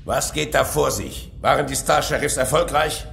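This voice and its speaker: An actor playing a gruff, impatient military commander in an audio drama, gravelly, curt and commanding. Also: male voice, mid-range voice, moderate pace, echoey room, over music. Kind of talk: German audio drama acting.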